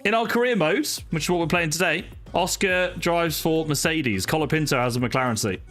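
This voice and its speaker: A man's voice: a little high, fairly quick, a bit bright. A young man chatting casually with viewers on a live stream, greeting people by name and talking with plenty of fillers. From not from Wales. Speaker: sim racing streamer. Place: home streaming setup. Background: music playing.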